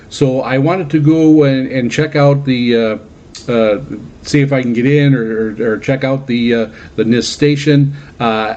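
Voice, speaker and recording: A man talks casually as the host of a hobby radio show, in a mid-pitched voice. He halts often, filling gaps with uhs and repeating words as he recounts things. The audio is slightly dull and lo-fi. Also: a little mellow. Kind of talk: casual radio monologue recounting personal trips. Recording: dull, lo-fi band-limited audio.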